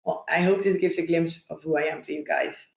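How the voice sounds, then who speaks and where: A woman's voice: lowish voice, steady tone, a little fast. Woman in echoey room. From Netherlands. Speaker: co-presenter. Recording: roomy echo.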